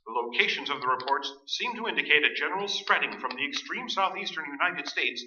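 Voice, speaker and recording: A man's voice: fairly high pitch, expressive, fast, sharp tone, slight background noise. An actor playing a grave, urgent male radio newsreader who delivers formal, emphatic bulletins in a staged radio play. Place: stage performance in an echoey room. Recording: dull, echoey live stage recording.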